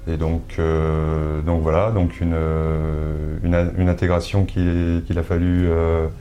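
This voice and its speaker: A man's voice: sits low, monotone, slow, lo-fi sound, a little hiss or hum. A man from Brittany, a shop owner, answering interview questions hesitantly, with many filler sounds and restarts. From Brittany, France.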